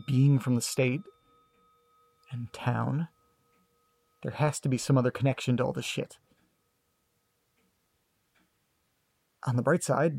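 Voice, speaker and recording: A guarded, sarcastic man played by an actor in an audio drama, sounding detached from his troubles. His speech is dry, clipped and hesitant, at a middle pitch, recorded with very little room sound. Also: creaky, darkish tone, husky, no room sound. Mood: wry. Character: guarded, sarcastic grandson detached from family trauma. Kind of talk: naturalistic audio drama acting.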